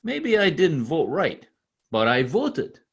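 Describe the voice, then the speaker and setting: A man playing a proud, excitable immigrant tradesman in an old-time radio comedy, with a foreign accent, a husky voice and halting, earnest English. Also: fairly high pitch, heavily acted. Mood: elated, proud.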